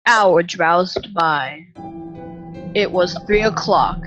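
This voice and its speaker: A woman's voice: on the low side, extremely slow. A woman reading scripted narration for an audio drama, muffled, with music behind. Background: background music.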